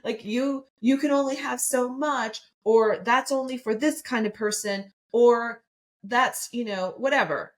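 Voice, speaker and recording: A woman's voice: fairly high pitch, unhurried. A woman in her fifties hosting a solo motivational podcast, chatty and enthusiastic, often asking "right?". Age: 50s. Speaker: podcast host and coach.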